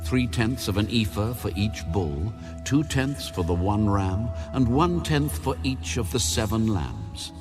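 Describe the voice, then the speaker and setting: A man narrating scripture in a measured, solemn, deliberate way, like an audiobook of the Bible. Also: fairly low pitch, big pitch swings. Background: background music.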